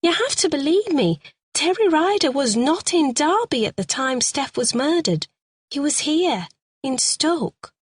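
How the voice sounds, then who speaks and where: A tearful woman begs to be believed, her voice climbing high and wavering, as a woman acts her out. It comes across dry and close, read aloud as part of a storybook. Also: lively intonation, a little slow, dry recording. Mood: pleading. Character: distraught battered woman, pleading and tearful.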